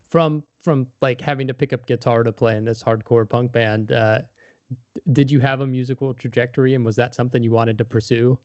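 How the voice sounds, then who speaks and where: A man's voice: mid-range voice, steady tone, brisk, darkish tone, dry recording. A man hosting a podcast, interviewing his guest in a casual, conversational way. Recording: dry, slightly dull lo-fi audio.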